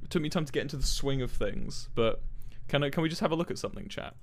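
Animated male game streamer, casual banter. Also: mid-range voice, quick pace, a little hiss or hum. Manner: sweary, jokey banter. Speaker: live streamer playing a team game. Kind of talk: casual gaming chat.

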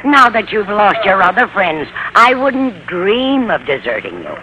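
A motherly older landlady in an old radio comedy. She is folksy and soothing, with lively intonation, on a dull recording with clear background noise. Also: medium pitch, measured pace. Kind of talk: 1940s-50s radio comedy acting.

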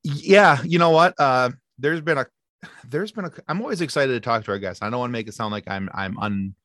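A man guesting on a podcast, telling stories in a lively chatty way with quick asides and restarts. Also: mid-range voice, no room sound, clean studio sound. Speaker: podcast guest, sci-fi podcast co-host. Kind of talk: casual interview chat.

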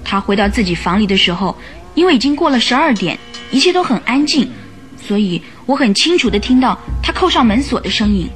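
A woman's voice: a little high, big pitch swings, brisk. An actress playing an anxious young woman who recounts events earnestly in a radio drama. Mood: uneasy, recounting. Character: anxious young woman client recounting her sister's death. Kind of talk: Mandarin radio drama acting. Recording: radio drama with music behind.

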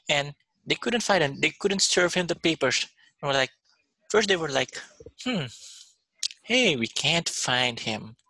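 Gravelly, lively-voiced podcast man. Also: medium pitch, no room sound, poor audio. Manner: hesitant, trails off with fillers. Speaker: podcast co-host. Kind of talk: casual podcast chat.

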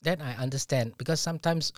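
Earnest middle-aged guest, mid pitch, halting restarts, dry recording. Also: male voice, no room sound. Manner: earnest, repeats words when starting sentences. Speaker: business owner guest. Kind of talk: casual interview chat.

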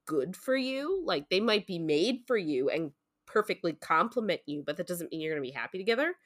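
A woman's voice: fairly low pitch, lively intonation, brisk. A woman co-hosting a podcast in a casual book discussion, speaking in an earnest, informal way and hedging her opinions as she goes.

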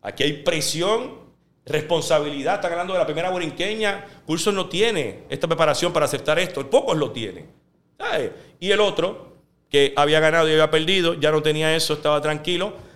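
A man with a Puerto Rican Spanish accent, a sports journalist telling stories in an interview and acting out the quotes. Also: a little high. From Puerto Rico. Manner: animated, fast storyteller who acts out quotes. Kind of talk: interview storytelling.

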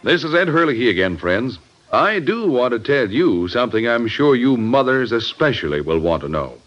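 A male American announcer delivers a vintage radio commercial. His mid-pitched voice swings with lively intonation, and the old recording is a bit muffled. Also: moderate pace, faint music behind.

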